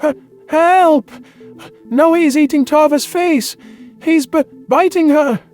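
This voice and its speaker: Sci-fi drama creature: a frightened, stammering alien male, extremely high-pitched, slow and halting delivery. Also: a little mellow, soft background music. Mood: panicked. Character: timid, terrified, rugged male alien exterminator with fur. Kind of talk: sci-fi audio drama dialogue.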